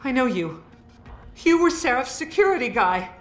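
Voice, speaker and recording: An actress playing a bitter, sharp-tongued scientist in a video game, sarcastic and accusing. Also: female voice, sits high, big pitch swings, moderate pace. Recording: roomy game audio with music.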